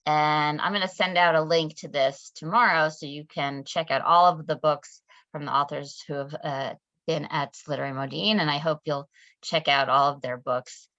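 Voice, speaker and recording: A woman's voice: low-pitched, fairly even intonation, normal speed, a bit bright, dry recording. A woman hosting an online author event on a video call, speaking in a friendly way with many fillers. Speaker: event host. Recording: dull, dry video call audio.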